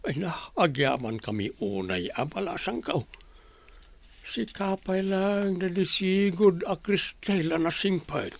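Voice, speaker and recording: Creaky, humble old village man acted by a man. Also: high voice, expressive, takes it slowly, heavily acted. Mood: humble, grateful. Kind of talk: radio drama acting.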